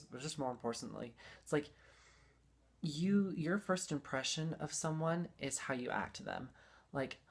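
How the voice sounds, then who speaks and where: A young adult speaker telling personal stories in a casual, heartfelt way, rambling and repeating phrases for emphasis. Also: mid-range voice.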